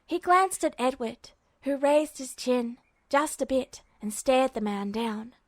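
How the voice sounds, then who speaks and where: A woman reading fanfiction aloud as podfic narration in a steady, even manner. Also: sits high, measured pace, no room sound. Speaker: fanfiction reader.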